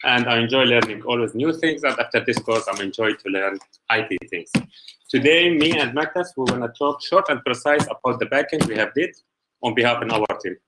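A man's voice: mid-range voice, brisk, background noise. A man from Syria, a web development graduate, introducing himself on a video call with many hesitations. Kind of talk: online presentation talk.

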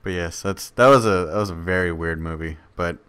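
A young man with a very low-pitched voice co-hosting a casual podcast. He talks in an easygoing, laid-back way and laughs often. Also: brisk, a bit bright, clear, resonant tone. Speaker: podcast co-host. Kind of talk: casual chat.

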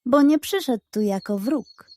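A woman's voice: a little high, animated, measured pace, warm tone. A woman voicing a proud tiger pirate captain in a children's audio story, firm and composed.

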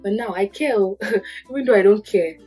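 A woman's voice: medium pitch, normal speed, slightly rough, faint music behind. A young woman from Nigeria with a Nigerian accent, giving casual advice to the camera and acting out little stories as she goes. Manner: lively storytelling, acts out quotes. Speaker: podcast host, lawyer. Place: bedroom with curtains, indoors.

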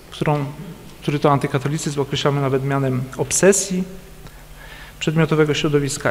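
A man with a fairly high voice delivers a formal, measured lecture from a lectern, filling pauses with soft hesitations. He is picked up by a distant camera, and the large church hall gives his voice a long, lingering reverb. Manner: measured, formal, hesitant fillers between phrases. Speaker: conference speaker. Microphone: standing at a lectern, recorded by a distant camera.

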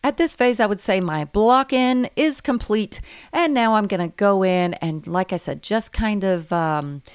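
An older woman with a hoarse, raspy voice, gently talking viewers through a painting tutorial with many fillers. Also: mid-range voice, unhurried. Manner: gentle, encouraging, meandering with fillers. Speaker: art instructor.

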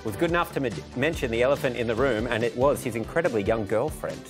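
A man in his thirties with an Australian accent, presenting a comic sports segment in a TV studio on a lapel mic, cracking quick jokes and laughing through his lines. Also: medium pitch, over music. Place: TV news studio.

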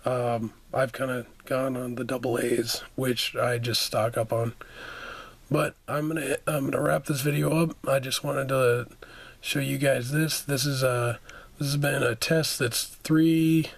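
A man with a hoarse, under-the-weather voice, reviewing gear in an informal, halting, plain-spoken way as host of a video. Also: mid-range voice, fairly even intonation, no room sound. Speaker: product reviewer and channel host. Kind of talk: casual hands-on product review. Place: indoors, carpeted room.

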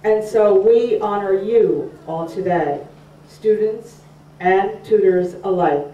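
Older woman, formal speech, slow and mellow; distant, dull-sounding mic in an echoey room. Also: mid-range voice. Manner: measured, formal. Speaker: program speaker. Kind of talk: scripted speech. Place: community room, at a podium. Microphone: podium mic, far camera.

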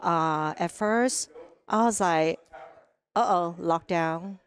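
A middle-aged woman from Hong Kong with a Hong Kong accent, telling stories as a podcast guest on a headset mic, animated and lively, often asking "right?". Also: on the low side, takes it slowly, clear, resonant tone. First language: Cantonese. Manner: animated, acts out quoted voices, frequent "right?". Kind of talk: casual interview storytelling. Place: sunny room with large windows.

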